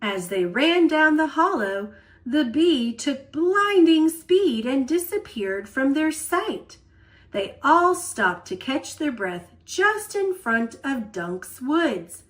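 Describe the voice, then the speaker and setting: A woman storyteller reading a children's picture book aloud in an expressive style. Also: high voice, takes it slowly.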